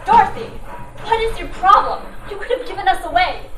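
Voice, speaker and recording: A scornful, commanding radio-play dame, acted by a woman with a high voice. She is sarcastic and suspicious. She sounds off the mic in a roomy space, with faint noise. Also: fairly quick, fairly bright tone, recorded from a distance. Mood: stern, scheming. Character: sharp-tongued, bossy, suspicious brunette sister, secretly a gangster. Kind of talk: old-time radio drama acting.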